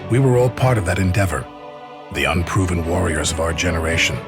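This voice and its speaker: A very low-pitched American officer narrates a war game's story. He is measured and reflective, like someone looking back on hard campaigns. Music plays under him. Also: expressive. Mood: grave, reflective. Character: weary male American army officer recalling the war. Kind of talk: scripted dramatic war narration.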